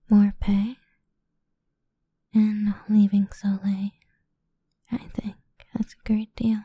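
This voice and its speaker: A woman playing a sadistic, domineering female boss in a whispered ASMR roleplay, taunting and condescending in a soft breathy voice. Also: mid-range voice, no echo, muffled recording. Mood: coolly persuasive.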